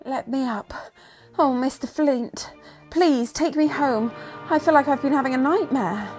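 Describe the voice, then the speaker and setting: Woman acting a high-voiced, frightened young heiress. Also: unhurried, a little mellow, soft background music. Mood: dazed, pleading. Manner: theatrical, pleading. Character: frightened, indignant young heiress, lady in distress. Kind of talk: old-time radio melodrama acting.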